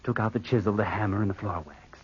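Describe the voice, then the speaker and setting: An actor playing a coldly scheming, murderous nephew in an old-time radio drama, speaking with careful deliberation. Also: male voice, on the low side, steady tone, natural voice. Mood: sinister, careful. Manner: slow, deliberate, hushed narration and hesitant dialogue. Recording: muffled vintage radio recording with faint noise.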